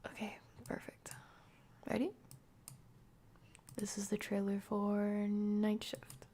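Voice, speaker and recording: A young woman streaming a horror game, chatting to viewers in a soft, breathy half-whisper. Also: medium pitch, completely flat delivery, takes it slowly, a little hiss or hum. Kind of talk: casual let's-play chat.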